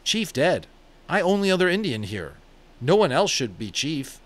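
A man's voice: fairly high pitch, sing-song, normal speed, fairly bright tone, voice pushed up. A man voicing a proud, terse young warrior who speaks in short broken phrases. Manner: broken clipped speech, commanding.

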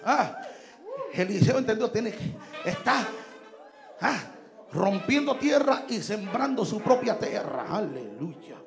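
Preacher, male, 30s, high gravelly voice, shouts in bursts, handheld mic in a church, faint music behind. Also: sing-song, takes it slowly. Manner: shouting, emphatic, switching between Spanish and English. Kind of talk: Pentecostal sermon. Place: large church stage indoors. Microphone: handheld microphone.

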